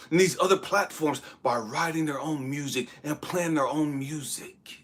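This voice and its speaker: Man, blunt profane motivational rant, bright high voice, husky edge. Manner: blunt, profane, preachy, swings between shouting and hushed asides. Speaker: motivational speaker. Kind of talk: impassioned motivational monologue.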